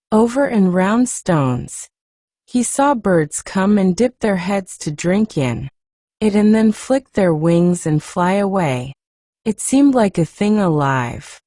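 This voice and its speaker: A woman reads a classic tale aloud at a slow, unhurried pace, her voice mellow and warm. Her pitch rises and falls in lively curves. She sounds close and dry, with no room around her. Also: dry recording. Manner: gentle, unhurried, soothing. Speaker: audiobook narrator. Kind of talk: soft bedtime storybook reading.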